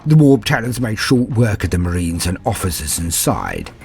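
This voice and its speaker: A man acts out an ancient, cruel veteran warrior recounting war in a dark storytelling style. His low voice is measured, proud and menacing, with grandiose weight on each phrase. Music plays faintly behind. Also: wildly swooping pitch, creaky, heavily acted. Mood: cold menace. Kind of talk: dramatic first-person war storytelling.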